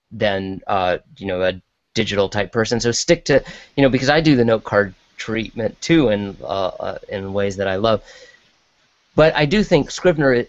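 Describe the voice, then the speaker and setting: A man in a casual chat as a podcast guest, talking in a rambling, halting way and often interrupting himself. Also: mid-range voice, no room sound, dull audio.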